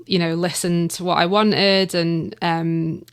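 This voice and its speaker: A young woman with a Manx English accent chats casually in a radio interview, her voice sitting a little low. She talks in a loose, hesitant way, full of fillers and small restarts. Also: flat delivery, takes it slowly, clean tone. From Isle of Man. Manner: chatty, hesitant, many fillers and laughs. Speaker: writer and director of an audio drama, guest.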